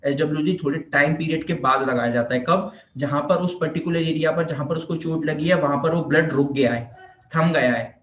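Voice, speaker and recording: Echoey, higher-pitched Indian man teaching. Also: fairly quick. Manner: repeats himself, poses questions and answers them. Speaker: physiotherapy teacher. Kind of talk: informal explanatory lecture in Hindi mixed with English terms. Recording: echoey room.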